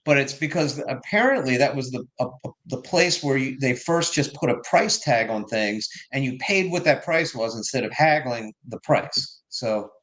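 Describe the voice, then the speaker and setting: A man's voice: medium pitch, animated, normal speed, hardly any pauses, fairly bright tone, low-quality recording. A man being interviewed about his song, rambling through explanations with restarts and fillers. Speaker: guest musician. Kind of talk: casual interview talk.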